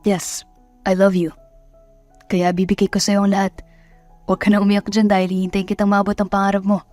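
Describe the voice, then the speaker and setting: A woman's voice: medium pitch, completely flat delivery, fairly quick, darkish tone, dry recording, soft background music, close to an everyday voice. A woman voicing a devoted, confident young man in a romance audio drama, speaking in an assured and tender way.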